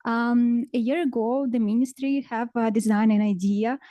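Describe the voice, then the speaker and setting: A woman from Ukraine, a ministry project manager presenting on a conference panel in halting with many pauses. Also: on the high side, fairly even intonation, warm tone, no echo. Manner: halting non-native English with frequent pauses.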